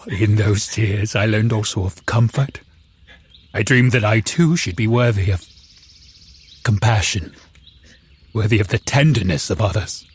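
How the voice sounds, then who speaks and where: An actor playing a lonely, eloquent man-made creature, telling his tale in a solemn, brooding audio drama voice with a gravelly, husky edge. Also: on the low side, a little slow, pauses often. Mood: wistful. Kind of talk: dramatic first-person audio drama narration.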